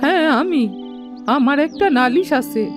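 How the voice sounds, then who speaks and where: An old cartoon granny with a high, plaintive voice. She scolds and laments at a slow pace, like a wronged villager. Loud music plays behind her. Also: lively intonation. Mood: indignant. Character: blind old village granny, wailing and aggrieved. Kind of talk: Bengali children's cartoon voice acting.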